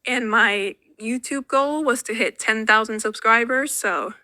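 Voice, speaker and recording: A woman's voice: a little high, measured pace, bright, forceful tone, no room sound. A young woman from Finland, a native Finnish speaker, answering viewer questions in a dry, self-deprecating voice-over. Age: young adult. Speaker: YouTuber. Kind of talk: Q&A vlog voice-over.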